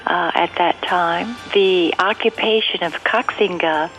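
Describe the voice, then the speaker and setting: A woman giving unscripted, explanatory interview answers as a guest, pausing mid-sentence as she thinks. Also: lowish voice, fairly bright tone, soft background music, poor audio. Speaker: interview guest, radio drama writer and director.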